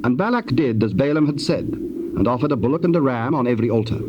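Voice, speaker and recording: A man narrating scripture for a dramatized audio Bible in a measured, formal way. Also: mid-range voice, expressive, lo-fi sound, lightly acted. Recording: faintly hissy old cassette tape.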